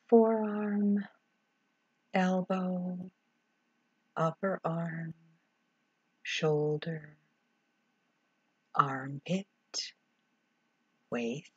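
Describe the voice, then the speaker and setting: A woman leading a guided meditation as a relaxation instructor, calm and soothing, in short measured phrases with long pauses and a husky, breathy voice. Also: low-pitched. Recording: dull, roomy, echoing sound.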